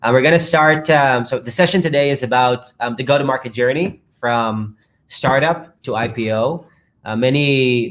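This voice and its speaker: A man moderating a live online fireside chat, upbeat and quick through long sentences on a video call. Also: medium pitch. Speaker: moderator and startup founder.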